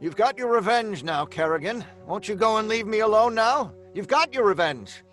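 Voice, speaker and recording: An actor playing a cornered, anxious writer in an old-time radio drama, hesitant and theatrical in delivery. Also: male voice, high-pitched, expressive, normal speed, fairly bright tone, faint music behind. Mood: pleading. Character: cornered, anxious male writer, stubborn and pleading.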